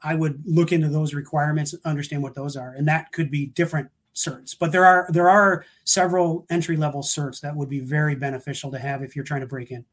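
A man talking through career advice in a relaxed, thoughtful way, with frequent restarts and fillers. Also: a little high, fairly quick, few pauses. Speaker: guest, security practitioner. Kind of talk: casual panel talk.

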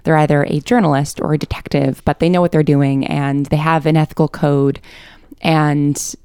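A woman's voice: low voice, flat delivery, moderate pace, darkish tone, smooth, dry recording, clean studio sound. A woman giving thoughtful, analytical answers as a writer being interviewed, explaining her ideas articulately.

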